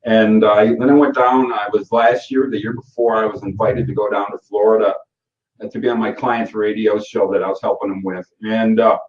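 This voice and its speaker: A middle-aged man with a broad Michigan accent talks in an easygoing, rambling way, circling back and correcting himself as he goes. His voice sits at an ordinary pitch. The sound is roomy and dull, as if he is a few feet from the mic. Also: flat delivery, normal speed. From Michigan, USA. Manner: rambling and folksy, with frequent fillers and self-corrections. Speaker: course host. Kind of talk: casual live Q&A session. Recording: roomy, distant and muffled webinar audio.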